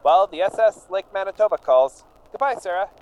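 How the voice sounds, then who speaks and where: A man's voice: high-pitched, expressive, brisk, darkish tone, slight background noise, rough recording. An actor playing an earnest, defensive immigrant painter down on his luck in a radio drama. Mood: hopeful, reassuring. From England. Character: John Terse, struggling English immigrant painter, earnest and defensive.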